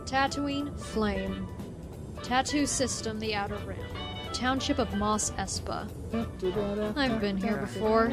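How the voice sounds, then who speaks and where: A woman voicing a cold, sardonic bounty hunter in a comic dub, curt and deadpan. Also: a little high, takes it slowly. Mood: weary, deadpan. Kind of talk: comic dub, terse noir-like narration. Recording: loud music under the voice. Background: music.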